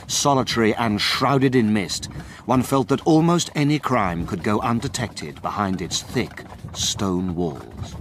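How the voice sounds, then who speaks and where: An actor playing a grave gentleman who narrates a mystery adventure in a literary, measured and ominous manner. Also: on the low side. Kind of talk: dramatic scripted narration. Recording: clear ambient background noise.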